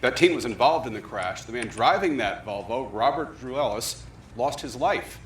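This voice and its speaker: A man's voice: medium pitch, expressive, moderate pace, slight background noise. An American man reading scripted broadcast news as a TV anchor.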